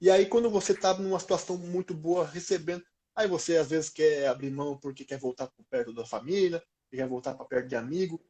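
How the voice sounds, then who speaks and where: Higher-voiced Brazilian man chatting casually. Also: fairly quick, dark tone. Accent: Brazilian Portuguese. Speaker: former professional footballer being interviewed. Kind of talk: casual interview chat.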